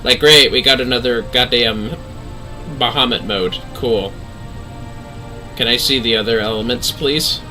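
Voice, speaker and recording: A man's voice: mid-range voice, measured pace, pauses often, music in the background, poor audio. A man giving casual, sweary and jokey livestream commentary on a game, often exclaiming. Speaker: livestream host.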